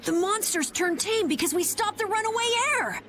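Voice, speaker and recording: Game role: excitable American kid boy, very high, bouncy and earnest. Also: moderate pace, slight background noise. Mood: eager. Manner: earnest, eager. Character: eager, naive, excitable young boy adventurer. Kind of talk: video game voice acting.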